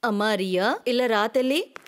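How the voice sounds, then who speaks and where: A woman's voice: a little high, expressive, measured pace. A woman voicing a caring, instructive middle-aged mother-in-law in a story. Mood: polite instructing. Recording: long reverberant hall echo.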